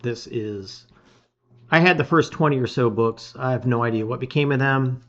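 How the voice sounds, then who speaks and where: Expressive man, slightly dull recording. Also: medium pitch, normal speed. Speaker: host reading a gamebook aloud. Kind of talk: casual intro and dramatic read-aloud of storybook narration.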